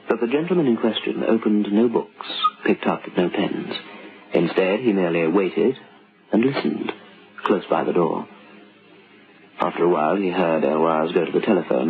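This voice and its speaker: A man giving formal, measured scripted narration for an old-time radio horror drama. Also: low voice. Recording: dull old radio recording, music underneath.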